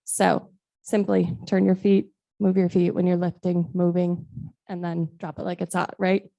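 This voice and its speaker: A woman with a soft voice demonstrating safe lifting to a group, a bit hesitant at times. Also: fairly low pitch, little pitch movement, a little slow, vocal fry, dry recording, dull audio. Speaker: guest demonstrator.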